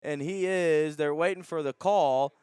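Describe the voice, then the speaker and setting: A man calling live high school football as a radio play-by-play announcer. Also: unhurried, a little mellow, clear, resonant tone, no room sound.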